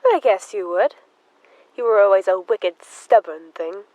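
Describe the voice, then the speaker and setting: A young woman playing a devout, unhinged murderous sister in an audio drama, with halting, fervent delivery. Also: mid-range voice, darkish tone, no echo, lightly acted, low-quality recording. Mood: fervent, eerie. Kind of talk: high school audio drama acting.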